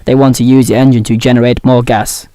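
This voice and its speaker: A man's voice: mid-range voice, level tone, fast, warm tone, no room sound, lightly acted. A man as a serious android, dry recording. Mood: matter-of-fact. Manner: informative, serious. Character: matter-of-fact male android ally explaining grim events. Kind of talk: audio drama acting.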